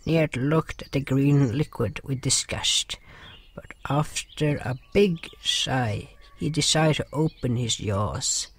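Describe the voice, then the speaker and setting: A man playing a gentle, caring healer with water powers in a fantasy audio drama, speaking in slow, formal, slightly stilted phrases. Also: mid-range voice, background noise. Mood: solemn storytelling. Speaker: narrator and lead character. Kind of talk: first-person fantasy audio drama narration and dialogue. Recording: music behind the voice.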